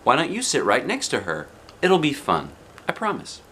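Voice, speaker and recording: A man's voice: medium pitch, huge pitch swings, normal speed, fairly bright tone, a little hiss or hum, voice pushed up. A man voicing a coaxing, reassuring mother of a kindergartner in a children's storybook.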